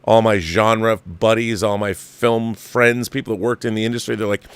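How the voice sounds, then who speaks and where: A man's voice: fairly low pitch, steady tone, moderate pace, clear, resonant tone, no room sound. A man hosting a livestream, giving casual, opinionated film commentary with a theatrical showman's turn of phrase.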